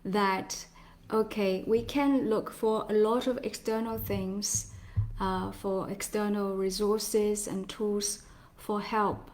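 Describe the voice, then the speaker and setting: A woman in her forties, originally from China, talks as a healer in a live online session. She speaks slowly and gently, pausing often and laughing softly now and then. Her voice sits at an ordinary middle pitch. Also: steady tone. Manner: slow, gentle, with pauses and soft laughs. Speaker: somatic healer giving a live talk.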